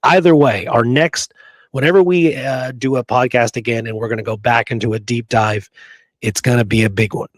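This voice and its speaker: A man's voice: mid-range voice, fairly even intonation, moderate pace, no echo. A man talking casually and informally as a podcast co-host.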